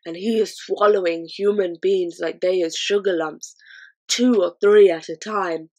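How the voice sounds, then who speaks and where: A woman voicing a gentle, friendly male giant who mangles his grammar and uses odd made-up words. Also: fairly low pitch, fairly even intonation, a little slow, low-quality recording.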